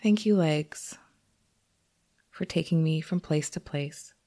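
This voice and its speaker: Low-pitched woman guiding a meditation. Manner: gentle, unhurried, with long pauses. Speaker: meditation teacher. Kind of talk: guided meditation.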